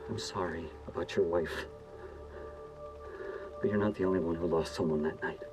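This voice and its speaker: A man playing an anxious government research scientist in a hazmat suit, with halting, stammering speech, in cinematic video game acting. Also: sits low, fairly even intonation, music in the background, natural voice. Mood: subdued, urgent.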